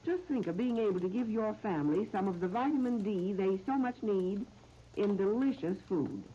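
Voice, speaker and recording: An American woman presenting a scripted 1930s radio cooking demonstration in a measured, instructional, carefully phrased manner. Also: mid-range voice, animated. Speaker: cooking show host. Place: radio studio. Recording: dull, noisy 1930s radio disc recording.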